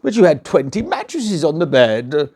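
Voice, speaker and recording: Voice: mid-range voice, big pitch swings, normal speed, darkish tone, breathy edge, voice pushed up. A storyteller voicing an earnest, flustered young prince who hesitates and stammers. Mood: earnest, worried.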